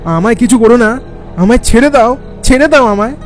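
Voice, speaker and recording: Man acting a high-voiced, rattled boat passenger. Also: a little fast, music in the background. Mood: terrified pleading. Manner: stammering, pleading, agitated. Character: frightened, stammering male boat passenger. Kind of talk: dramatised horror audio-story dialogue.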